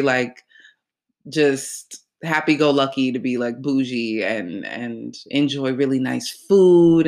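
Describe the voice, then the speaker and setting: Slow-talking congested nasal host. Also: medium pitch. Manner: relaxed, rambling with many fillers. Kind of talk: casual astrology talk.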